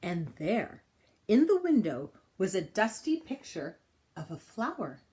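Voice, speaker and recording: A woman reading a children's picture book aloud in an expressive style, with deliberate, dramatic phrasing and pauses. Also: mid-range voice, slight background noise. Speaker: storybook reader.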